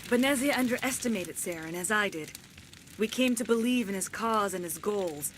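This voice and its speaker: A woman acting as a solemn alien woman in a video game cutscene. She speaks in formal, measured declarations with grave dignity. Faint ambient noise sits behind her voice. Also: mid-range voice, lively intonation, fairly bright tone, natural voice. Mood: solemn, regretful. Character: alien woman, cold imperious mouthpiece of an ancient plant, later freed and remorseful.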